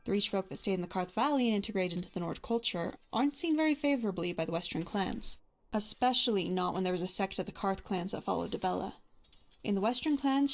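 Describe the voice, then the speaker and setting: A woman's voice: mid-range voice, darkish tone. Woman voicing a tough sarcastic lady adventurer from a fantasy game, caring underneath. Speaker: voiced follower in a game mod. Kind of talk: fantasy video game character dialogue.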